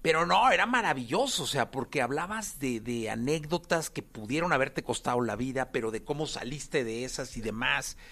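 Entrevistador mexicano de entonación muy animada. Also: male voice, mid-range voice, lively intonation, moderate pace, hardly any pauses, fairly bright tone. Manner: affirming, encouraging. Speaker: interview host. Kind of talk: casual conversational interviewing.

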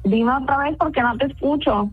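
A woman's voice: high voice, normal speed, husky, faint music behind. A woman chatting casually on a phone call, patient and good-humoured. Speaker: phone-in prank target.

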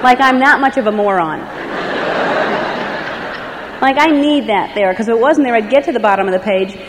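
A woman's voice: on the high side, moderate pace. A woman doing a stand-up set to a live crowd, wry and observational. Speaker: stand-up comedian. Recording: noisy club with crowd. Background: crowd laughing.

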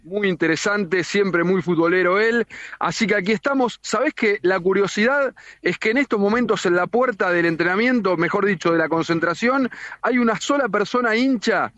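A high-pitched Argentine man gives a live sports report. The remote link is dull and lo-fi, with a roomy sound around his correspondent's delivery. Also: moderate pace, a bit bright. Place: remote live report from outside a team base.

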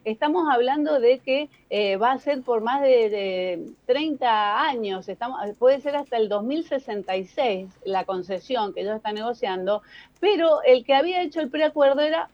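High-voiced Argentine woman columnist, dull sound. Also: normal speed, dry recording. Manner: explanatory, addresses listeners directly. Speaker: radio or TV news columnist. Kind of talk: conversational news commentary.

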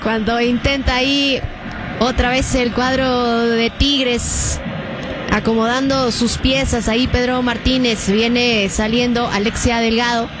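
Woman, sports commentator, higher voice, fast live calling, noisy broadcast. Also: level tone. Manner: fast, rising with the action, many fillers. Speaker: play-by-play commentator.

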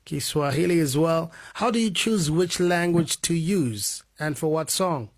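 A man hosting a radio interview, easygoing and encouraging, with soft "mm" backchannels. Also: on the high side, unhurried. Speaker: radio host.

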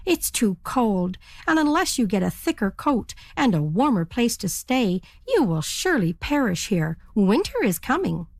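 A woman's voice: a little high, very animated, normal speed, hardly any pauses, no room sound, studio quality. A woman voicing a big, scornful, blunt raven in a recorded children's story. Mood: scolding.

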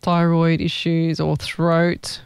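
A man, a psychic medium hosting a live stream, chatting in a rambling, excitable way. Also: on the high side, slow pace, vocal fry, clean tone, no echo, studio quality. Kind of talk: live-stream reading chat.

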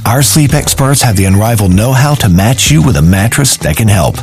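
A man voicing a radio commercial with a smooth, salesy read. His pitch rises and falls with plenty of lift, and light music plays under him. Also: animated, fairly quick. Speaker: ad announcer.

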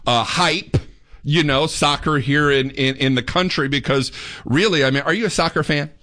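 A man's voice: fairly high pitch, bright, forceful tone. Radio host guy, friendly banter, keeps the show moving. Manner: friendly, quick interjections. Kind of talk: talk radio chat.

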